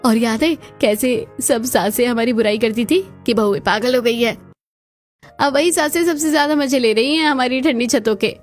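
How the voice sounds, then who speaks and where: Actress as high-voiced, chatty cartoon housewife. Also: female voice, moderate pace, soft background music, lightly acted. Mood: chatty, content. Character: daughters-in-law, put-upon, chatty neighbourhood housewives. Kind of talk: cartoon story dialogue.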